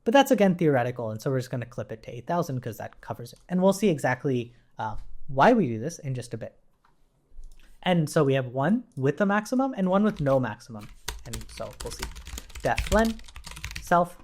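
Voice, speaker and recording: A man's voice: medium pitch, sing-song, fairly quick, darkish tone. A man giving a casual, explanatory coding tutorial, thinking aloud in an informal way as he works. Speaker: programming tutorial instructor.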